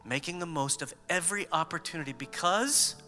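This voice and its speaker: A man in his fifties preaching a sermon as a pastor, conversational and animated, mixing scripture with humor. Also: a little high, fairly quick, long reverb tail, faint music behind.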